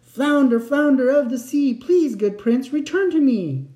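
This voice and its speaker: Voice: medium pitch, very animated, normal speed, mellow, pitched above the performer's own voice, close to an everyday voice. A storyteller playing a meek, henpecked poor fisherman in a fairy tale, pleading and reluctant. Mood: pleading call. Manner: pleading, miserable. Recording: roomy, echoing sound.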